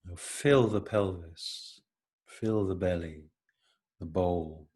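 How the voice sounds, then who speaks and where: A man leading an unscripted, reflective guided meditation, speaking gently in halting phrases with long pauses. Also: low voice, husky.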